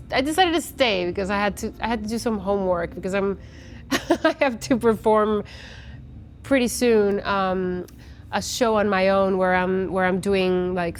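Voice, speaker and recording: A woman in her forties, originally from Guatemala, talks casually about her music in an interview. She is chatty and often restarts her sentences. Her voice sits at a middle pitch, with faint noise behind her from the outdoor festival. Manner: chatty with many restarts and fillers. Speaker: musician guest.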